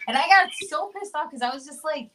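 A woman hosting a podcast in casual chat, animated and blunt, swearing freely. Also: high-pitched, brisk. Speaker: podcast host.

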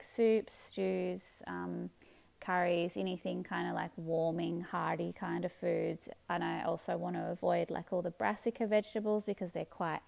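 An Australian woman in her thirties hosting a solo podcast, chatting in a relaxed way with many ums and restarts. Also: fairly low pitch, gravelly. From Melbourne, Australia. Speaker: podcast host. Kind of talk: casual solo podcast chat.

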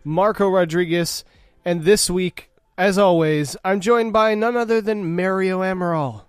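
A man hosting a casual tech podcast, relaxed and full of fillers. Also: high voice, unhurried, smooth, dry recording, studio quality. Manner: relaxed, uses many fillers. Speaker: podcast host.

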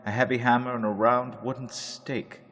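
An actor playing a frightened young doctor who narrates his diary in a hushed, dread-filled storytelling manner. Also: medium pitch, level tone, moderate pace, natural voice. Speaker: radio drama actor. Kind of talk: old-time radio drama narration.

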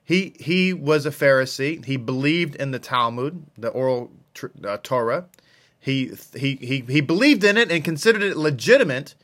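A man's voice: mid-range voice, normal speed, fairly bright tone. A man giving an informal scripture lesson as a religious teacher, repeating phrases for emphasis. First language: English.

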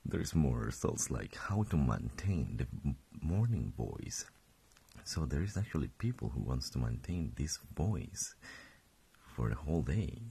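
Gravelly deep-voiced young man, just woken. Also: sing-song, a little slow, dark tone. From Colombia. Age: 20s. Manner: rambling, self-correcting, chuckling. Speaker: podcast host. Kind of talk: casual solo podcast.

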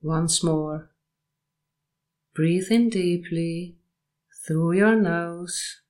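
A woman guiding a sleep meditation and hypnosis session in short, measured, soothing phrases with long pauses between them. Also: fairly low pitch, a little mellow.

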